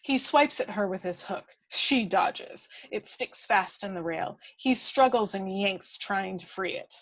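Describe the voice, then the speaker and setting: A woman's voice: mid-range voice, measured pace, poor audio. A woman reading scripted screenplay action as the narrator of a table read. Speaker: stage-direction reader.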